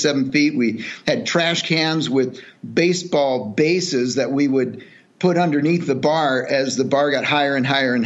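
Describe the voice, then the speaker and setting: A man's voice: mid-range voice, muffled recording. A middle-aged man from El Salvador, a guest telling his story in an earnest, reflective way with many fillers and restarts. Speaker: podcast guest, charity executive. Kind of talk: relaxed storytelling interview.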